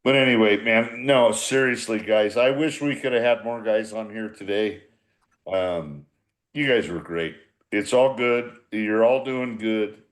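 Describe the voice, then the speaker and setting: A man's voice: fairly low pitch, a little slow. A man in his sixties, a former baseball manager, reminiscing casually in a rambling, repetitive, blunt and salty way. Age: 60s. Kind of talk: casual reminiscing in a group podcast.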